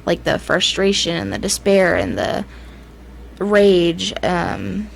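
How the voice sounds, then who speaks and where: A woman's voice: lowish voice, very animated, slow, gravelly, slight background noise. A young woman with a Southern US accent telling ghost stories casually as a podcast co-host, informal and hesitant. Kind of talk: casual ghost-story chat.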